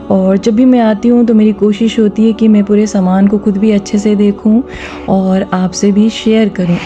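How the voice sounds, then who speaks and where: A woman's voice: medium pitch, dark tone, dull audio. A woman narrating a vlog, giving instructive advice directly to her viewers. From India. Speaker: vlogger. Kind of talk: vlog voice-over with gentle religious advice.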